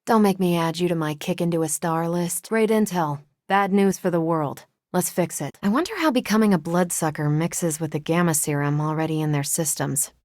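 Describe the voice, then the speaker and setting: A woman's voice: lowish voice, normal speed, few pauses, darkish tone, dry recording, lightly acted. A woman voicing a confident, wry superheroine soldier in a video game, with a dry, assured manner. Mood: wry, conversational.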